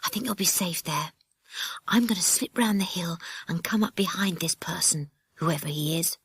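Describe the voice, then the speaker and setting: A woman's voice: fairly low pitch, dry recording, natural voice. Woman voicing a bold, bossy young boy leader. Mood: urgent whisper. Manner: hushed or brisk commands.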